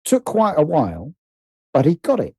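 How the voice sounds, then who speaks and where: Mellow man thinking aloud. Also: a little high, slightly rough, no room sound, studio quality. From Britain. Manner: animated, halting, restarts and repeats phrases as he thinks aloud. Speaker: interview guest, writer and producer. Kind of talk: casual conversational interview.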